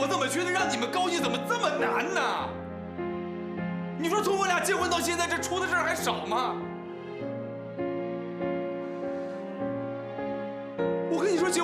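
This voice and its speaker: Exasperated, animated younger brother. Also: male voice, very high-pitched. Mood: pleading, frustrated. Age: 30s. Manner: pleading, repeating himself, rhetorical questions. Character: exasperated younger brother, earnest married surgeon, long-suffering. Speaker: actor in a family TV drama. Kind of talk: naturalistic TV drama acting. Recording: background music under dialogue.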